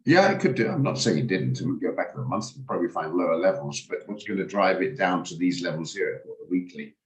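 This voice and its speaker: A man, hoarse from a cold, hosting a live trading room and talking through charts with hesitant, stammering restarts. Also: sits low, animated, fast, poor audio. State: hoarse, recovering from flu. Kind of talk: live market commentary on a video call.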